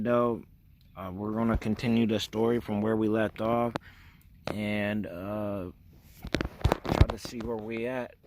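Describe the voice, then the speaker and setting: A man giving casual reaction commentary in an informal, slangy way. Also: on the low side. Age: adult, parent of a son. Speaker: reaction video host. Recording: faint background noise and room ambience.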